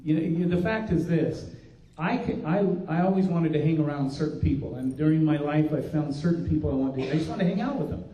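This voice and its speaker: A man's voice: fairly high pitch, fairly quick, mellow. An older man, a pastor, giving an earnest, folksy workshop talk with frequent restarts. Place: conference room. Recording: dull, roomy recording from a distance.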